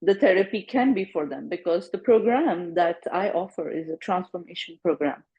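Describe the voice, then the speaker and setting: A woman psychologist as an interview guest, explaining her work in a relaxed way with everyday comparisons and often checking with "right?". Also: fairly low pitch, normal speed, husky, dull audio. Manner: explains with analogies, tags "right?". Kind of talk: casual interview chat.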